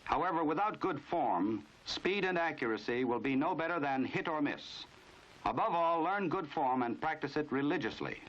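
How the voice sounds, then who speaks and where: A dull, dated 1950s film soundtrack carries an American man's narration. His voice sits a little high for a man, and he delivers formal instruction with authority, in the scripted style of a mid-century training film. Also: animated, normal speed, fairly bright tone.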